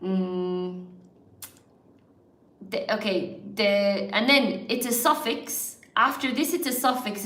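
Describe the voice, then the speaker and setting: A woman's voice: on the high side, fairly bright tone. An Irish woman, an English teacher, hosting a live word game for her viewers, chatty and encouraging, laughing often and greeting people by name. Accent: mild Irish, largely neutral. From Ireland. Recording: echoey room.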